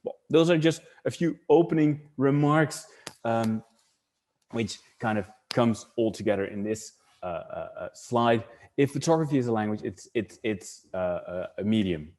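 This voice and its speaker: A man's voice: mid-range voice, animated, moderate pace, darkish tone. A middle-aged man from the Netherlands with a Dutch accent, presenting an online webinar and thinking aloud, often restarting and repeating words.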